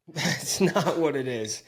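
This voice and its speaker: A man's voice: on the high side, lively intonation, takes it slowly, crowd noise. A man hosting a casual sports podcast, talking in a playful, teasing, mock-bossy way. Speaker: podcast host.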